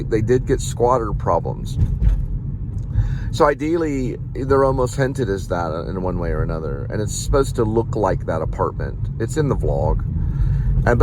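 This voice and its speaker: A man giving a casual, rambling vlog monologue, halting often and drifting off on tangents, recorded inside a car. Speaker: vlogger, amateur playwright, delivery driver. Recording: noisy car interior, vehicle rumble.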